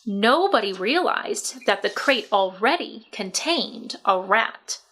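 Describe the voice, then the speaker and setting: Bright-voiced woman reading a children's storybook. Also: medium pitch, lively intonation, slow. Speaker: children's book reader. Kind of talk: expressive storybook read-aloud narration.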